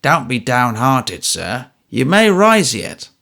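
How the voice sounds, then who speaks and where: A man's voice: medium pitch, wildly swooping pitch, slow pace, creaky, a bit bright, heavily acted. A man voicing a big, composed ex-soldier with blunt, dry speech.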